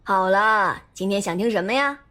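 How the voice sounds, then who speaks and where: A woman's voice: medium pitch, normal speed, bright, forceful tone, lightly acted. A woman voicing a mischievous four-year-old boy with a grown-up mind in an audio drama, in a teasing, playful big-brother tone.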